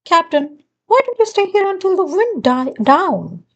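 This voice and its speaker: Lady doing a storybook sea captain guy, bearded, ums and ers, big swoopy pitch. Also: big pitch swings, highs cut off, heavily acted. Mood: concerned. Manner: hesitant, starts with a drawn-out "er". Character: gruff bearded old sea captain, hesitant but hungry.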